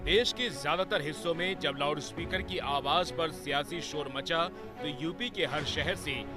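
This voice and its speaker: A man with a slightly high voice narrates a scripted television news package. Music is clearly present behind his voice-over. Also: unhurried. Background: prominent music bed.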